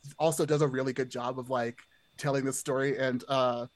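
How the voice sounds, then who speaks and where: A man's voice: mid-range voice, little pitch movement, a little fast, dry recording. A man chatting casually as a podcast guest, rambling along and peppering his sentences with "like". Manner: rambling, heavily hedged with "like".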